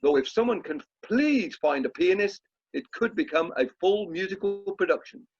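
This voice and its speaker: A man's voice: sits high, lively intonation, normal speed, soft background music. A man playing a pompous, easily exasperated drama society chairman with a British accent, in an amateur radio play. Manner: pompous, self-important. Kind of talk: amateur radio play acting, speech-making. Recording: dull online call audio.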